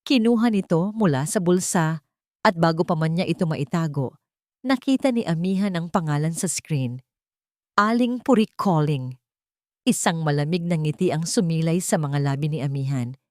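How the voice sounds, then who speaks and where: A woman's voice: sits low, normal speed, dark tone, no room sound, clean studio sound. A woman with a Filipino accent reading scripted, dramatic narration of a romance story.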